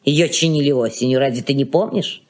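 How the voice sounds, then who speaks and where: A woman's voice: very deep voice, fairly quick, darkish tone. An older woman acting a fussy, bossy elderly aunt in a 1980s Soviet radio play, effusive and nagging by turns.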